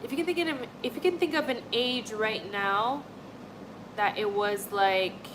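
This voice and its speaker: A woman's voice: fairly high pitch, unhurried, background noise. Chatty young woman from Montreal. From Montreal, Canada. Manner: chatty, rambling, frequent "like" and "honestly". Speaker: livestream host. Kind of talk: casual chat with live chat.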